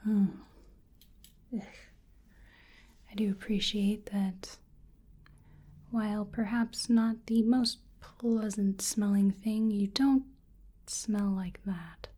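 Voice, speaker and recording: A woman doing a soft-spoken ASMR roleplay as a curious, polite researcher, often dropping into a whisper, with a gentle, musing delivery. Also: mid-range voice. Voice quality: breathy, whispery. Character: curious, polite female researcher examining a creature.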